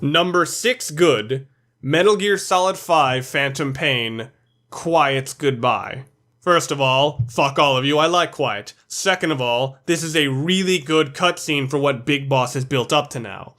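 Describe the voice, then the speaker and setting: A man's voice: a little high, measured pace, a bit bright. A man delivering scripted, opinionated video commentary as a countdown reviewer, blunt and sprinkled with swearing.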